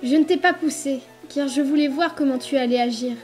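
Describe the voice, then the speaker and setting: Woman as gentle young boy, comic dub: very high voice, earnest, stubborn, soft-hearted, over music. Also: brisk, lightly acted. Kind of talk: dramatic comic fan-dub acting.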